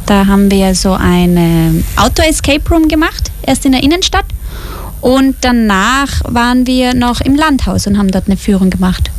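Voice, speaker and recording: A woman's voice: fairly high pitch, animated, moderate pace, a little hiss or hum. Austrian woman explaining a youth project. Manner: clear, informative explaining. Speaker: project coach. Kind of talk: radio guest interview. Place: radio studio.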